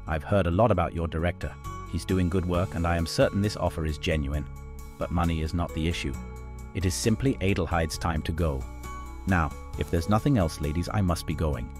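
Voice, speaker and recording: An actor playing an older German aircraft collector, a formal, quietly menacing villain. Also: male voice, low voice, a little fast, over music, lightly acted. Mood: courteous.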